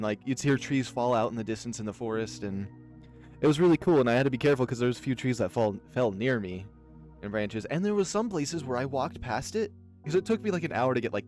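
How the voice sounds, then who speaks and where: Guy rambling thru a story while playing a game, lots of "like", quiet music behind. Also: medium pitch. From Oregon, USA. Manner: rambling, filler-heavy, animated anecdotes. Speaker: let's play host. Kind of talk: casual storytelling while playing a visual novel.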